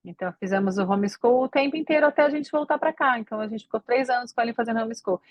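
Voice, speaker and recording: A woman's voice: fairly high pitch, racing, dry recording. A woman from Brazil, a podcast guest, chatting casually and rambling with many fillers and restarts. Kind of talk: casual interview chat.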